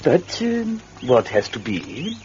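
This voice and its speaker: A man's voice: mid-range voice, big pitch swings, takes it slowly, a little mellow, slight background noise. A man playing a gleefully wicked, mock-courteous devil who tells a story in an old radio horror drama, theatrical and sly. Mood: mock-wistful. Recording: dull lo-fi old radio broadcast recording.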